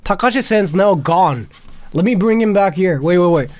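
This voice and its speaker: Excitable man with a lively voice. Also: high voice, moderate pace. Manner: excitable, laughs often, repeats himself. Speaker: streamer host. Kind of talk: casual live-stream chat. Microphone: video call.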